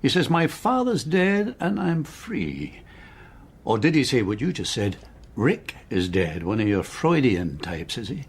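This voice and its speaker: An actor playing a shrewd intelligence officer in a radio drama, with a rough voice and a probing, sardonic, clipped manner. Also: male voice, mid-range voice, very animated, a little slow, exaggerated voice. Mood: sardonic, pressing. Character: shrewd, sardonic male British intelligence officer, needling interrogator.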